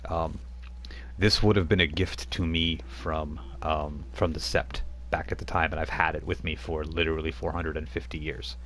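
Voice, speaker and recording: A scornful vampire woman who has outlived centuries, as a man puts her on at the game table. Her voice sits very deep and dark, and she speaks with weary contempt for lesser creatures. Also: level tone, normal speed. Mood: solemn. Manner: contemptuous, brooding.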